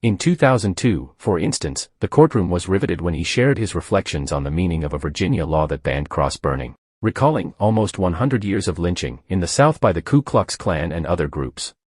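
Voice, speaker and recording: A man reading a news article aloud as the narrator of a news channel, in a steady scripted delivery. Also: low voice, a little fast, few pauses, breathy edge, no echo, clean studio sound.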